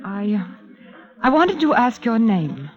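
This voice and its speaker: A woman's voice: a little high, big pitch swings, unhurried. An actress playing a poised, earnest young woman who coaxes politely in a 1940s radio drama. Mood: earnest, urgent. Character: poised young woman working as an undercover go-between. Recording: dull vintage radio recording, faint music.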